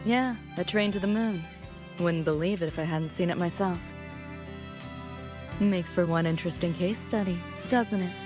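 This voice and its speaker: A woman's voice: on the low side, moderate pace, long pauses, over music, natural voice. An actress playing a wistful, laid-back young woman with street slang, talking casually and in clipped phrases in a game cutscene. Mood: casual, appreciative. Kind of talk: video game cutscene dialogue.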